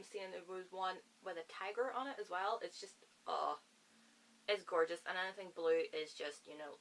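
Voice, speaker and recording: A young woman, chatting to camera as a book vlogger, rambling and excitable and laughing at herself. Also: mid-range voice. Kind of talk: casual chat to camera.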